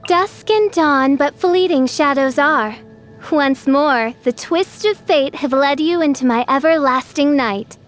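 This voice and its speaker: Video game role: high-voiced teen girl, pompous dark-royalty act, archaic theatrical delivery, music underneath. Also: a little slow. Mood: imperious, theatrical. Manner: grandiose, pompous archaic speech. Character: theatrical teenage girl styling herself a dark princess. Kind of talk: scripted video game character acting.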